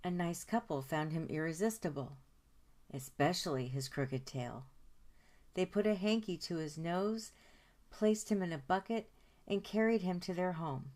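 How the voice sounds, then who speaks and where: Low voiced lady doing storytime, reading a kids book out loud, stretches out the important words. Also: expressive, measured pace. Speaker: storytime host reading aloud. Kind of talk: expressive children's picture book narration.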